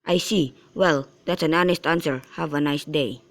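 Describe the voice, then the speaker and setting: A man's voice: on the high side, normal speed, fairly bright tone. A man playing a polite, simple household servant in an amateur radio drama, speaking courteously.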